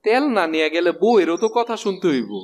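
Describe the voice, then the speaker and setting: A man's voice: sits high, lively intonation, fast, slight background noise. A man playing an irritable, put-upon village husband in a cartoon, complaining in a rural eastern Bengali accent. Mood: worried. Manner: complaining, exclamatory. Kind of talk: animated cartoon dialogue.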